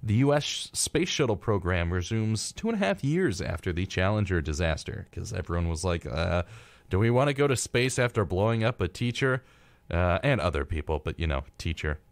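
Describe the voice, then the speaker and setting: A man with a lowish voice co-hosts a casual radio show from a studio. He reads out a rundown and drops in flippant remarks as he goes. The sound is very dry, with no room echo. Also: big pitch swings, moderate pace. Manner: flippant, irreverent asides. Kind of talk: casual live talk reading a history rundown with joking asides.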